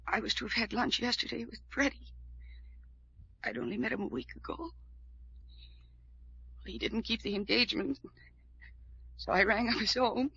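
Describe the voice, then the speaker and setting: An actress playing a distraught young woman convinced she is doomed, speaking in a halting, melodramatic way in an old-time radio drama. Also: on the high side, creaky, a bit bright, breathy edge, dry recording, exaggerated voice. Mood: desperate, rising hysteria. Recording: dull vintage radio recording.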